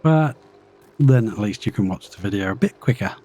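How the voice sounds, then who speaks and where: A man casually commenting on his live gameplay, chatty and thinking aloud as he plays, his voice slightly croaky after being ill. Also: fairly low pitch, expressive, normal speed, mellow. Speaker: live streamer.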